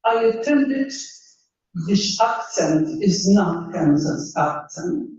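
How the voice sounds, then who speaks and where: An older woman with a Polish accent gives an earnest, unscripted talk as a professor, stressing her points and pausing to find her words. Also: medium pitch, expressive, a little mellow. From Poland. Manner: earnest, emphatic, searches for words. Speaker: professor speaking at a meetup. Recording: dull, echoey hall, mic far off.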